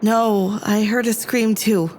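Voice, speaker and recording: A woman's voice: medium pitch, fairly even intonation, slow, a little mellow, slight background noise, lightly acted. A woman playing an anxious, headstrong academic on a space crew in an audio drama, sweary and argumentative. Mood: urgent.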